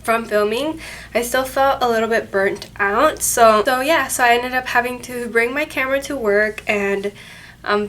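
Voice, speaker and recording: A woman's voice: mid-range voice, fairly bright tone. A woman hosting her paranormal channel, chatting casually to camera from an office, sounding nasal and congested from a cold and rambling with self-corrections. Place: office at her workplace, indoors. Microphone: camera mic, no microphone.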